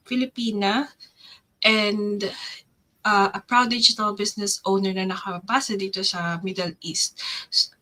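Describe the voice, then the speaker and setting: Brief: woman from the Philippines, mid-pitched voice, polite and measured. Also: a bit bright. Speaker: guest. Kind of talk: casual self-introduction.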